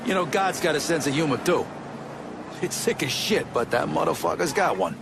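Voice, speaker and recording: An actor playing a cynical, world-weary tough gangster in a crime game, profane and wry. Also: male voice, on the high side, expressive, normal speed, background noise. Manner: profane, wry, gruffly commanding.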